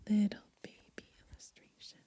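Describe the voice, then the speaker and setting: A woman softly murmuring ASMR commentary as she browses a book, breathy and gentle, outdoors. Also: normal speed. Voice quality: breathy, whispery. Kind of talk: ASMR, soft murmured commentary and title reading. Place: outdoors on grass.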